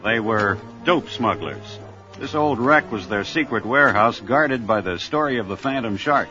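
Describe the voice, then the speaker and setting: A man's voice: lowish voice, lively intonation, normal speed, pushed and bright, faint music behind. Man playing a commanding grandfather captain, muffled recording. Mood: explaining. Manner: firm, authoritative. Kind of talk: vintage children's adventure drama.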